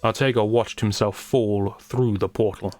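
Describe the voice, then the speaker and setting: A man narrating a scripted story in a wry, theatrical manner with deliberate phrasing. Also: fairly low pitch, fairly even intonation, darkish tone. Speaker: creator and narrator. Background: music.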